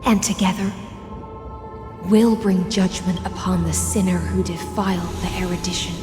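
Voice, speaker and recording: A woman voicing a mythic game heroine, tender, mournful and resolute, speaking lyrically and deliberately in a poetic monologue. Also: fairly low pitch, steady tone, lightly acted. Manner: slow, lyrical, deliberate delivery. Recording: echoey long reverb under loud music.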